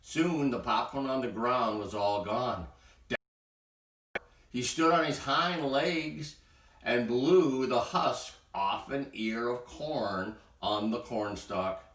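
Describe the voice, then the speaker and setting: Slow, bright-voiced man reading a bedtime story. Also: medium pitch. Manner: expressive, acts out sound words. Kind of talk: read-aloud children's storytelling. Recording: roomy echo, distant from mic.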